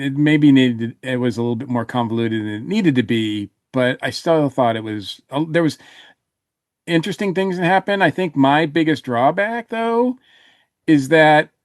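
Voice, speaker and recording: A man speaking in a halting, think-aloud way as a panelist in a casual podcast review. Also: mid-range voice, no echo, studio quality.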